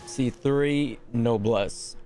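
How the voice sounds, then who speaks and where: A man giving casual gameplay commentary as a streamer, with a creaky voice. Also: mid-range voice, drawn-out words, warm tone. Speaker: game streamer. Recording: prominent game music behind.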